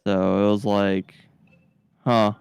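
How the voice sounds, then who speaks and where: Casting: male game streamer, gravelly edge on a clear voice, casual, opinionated rambling delivery. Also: lowish voice, flat delivery, slow, dry recording, faint music behind. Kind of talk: casual chat over gameplay.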